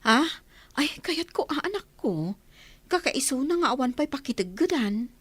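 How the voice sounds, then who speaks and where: A woman's voice: high-pitched, big pitch swings, moderate pace, a bit bright, dry recording. Woman acting a humble, long-suffering village mother. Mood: motherly, concerned. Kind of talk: Ilocano radio comedy-drama acting.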